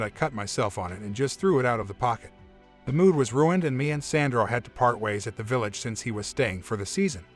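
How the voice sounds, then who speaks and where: A man reading a creepy first-person story aloud in a steady, even manner. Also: mid-range voice. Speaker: narrator. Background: soft background music.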